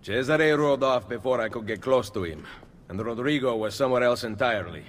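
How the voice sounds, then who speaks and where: Echoing, resolute Italian assassin hero played by an actor. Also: male voice, mid-range voice, lively intonation, fairly quick, slight background noise, close to an everyday voice. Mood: determined. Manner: decisive, earnest, commanding. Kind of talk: dramatic video game acting. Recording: long reverb, music behind.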